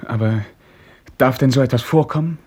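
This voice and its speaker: Male actor as young prince for radio play: very breathy, rough-edged, courteous, hesitant, medium pitch. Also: moderate pace, darkish tone, lightly acted. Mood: troubled. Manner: formal, hesitant, earnest. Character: sheltered, earnest, well-bred young male prince. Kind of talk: German radio drama acting.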